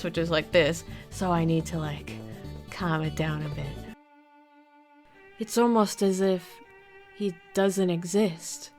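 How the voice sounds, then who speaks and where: A woman gamer and content creator talking casually in a vlog with a chill, somewhat monotone manner. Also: on the low side.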